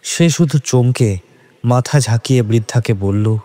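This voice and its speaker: A man's voice: mid-range voice, measured pace, mellow. A man narrating a scripted horror story in a dramatic storytelling style. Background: background music.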